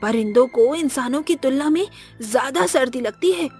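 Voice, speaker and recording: A sensible fifteen-year-old boy in a cartoon. The voice sits fairly high but calm, with an even, reassuring manner. Soft music plays underneath. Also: normal speed. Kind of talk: cartoon voice acting.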